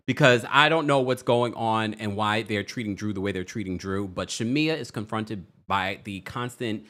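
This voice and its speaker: A man hosting a casual celebrity news stream, chatty and animated, with a deep voice and frequent laughs. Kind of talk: casual commentary livestream.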